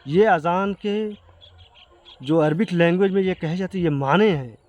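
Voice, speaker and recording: Higher-pitched Indian man, earnest and halting. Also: darkish tone. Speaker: video creator addressing viewers. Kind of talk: explanatory talk to camera.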